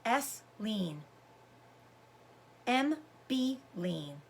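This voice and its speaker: A woman voicing a wistful, grieving orphaned pioneer girl, speaking in a hushed, halting way, in a storybook reading. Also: fairly high pitch, sing-song, creaky, breathy edge, full character voice. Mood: hushed wonder.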